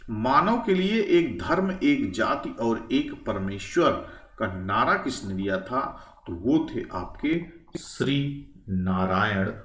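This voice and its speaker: A man's voice: fairly low pitch, fairly bright tone. A man teaching history quiz questions for exam preparation, posing each question, answering it and often checking in with listeners. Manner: asks questions then answers them, frequent check-in tags. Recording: echoey room, distant microphone.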